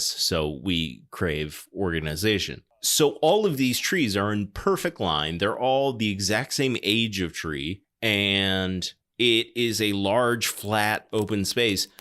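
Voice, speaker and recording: Low-voiced man chatting about films. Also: fairly bright tone. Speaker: podcast host. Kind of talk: casual film discussion.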